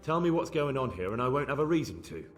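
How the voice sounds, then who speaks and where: An actor playing a bold, blunt young investigator in a video game, direct and challenging. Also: male voice, mid-range voice, very animated, fast, soft background music, natural voice. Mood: menacing.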